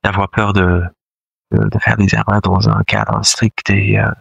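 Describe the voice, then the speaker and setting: A low-voiced man with a gravelly, creaky edge, speaking French as his second language. As a choir director in a relaxed interview, he talks hesitantly with lots of fillers and restarts. The sound is close and dry. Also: a little slow, dry recording, dull audio. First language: not French; French is his second language. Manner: hesitant, many fillers and restarts, laughs often. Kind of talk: casual interview conversation.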